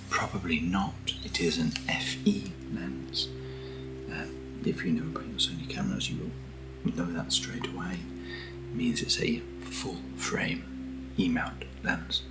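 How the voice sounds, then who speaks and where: British man, low voice, soft whispery ASMR chat, unhurried, gently rambling, music underneath. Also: low-quality recording. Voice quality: breathy, whispery. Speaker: host of ASMR unboxing videos.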